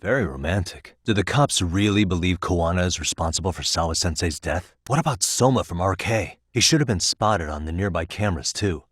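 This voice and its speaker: A man's voice: low voice, brisk, few pauses, husky, no echo. A man playing a determined, streetwise detective in a video game, dry and probing in his terse delivery. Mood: intent, serious. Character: determined, streetwise male detective, dogged and wry. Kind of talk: scripted video game dialogue.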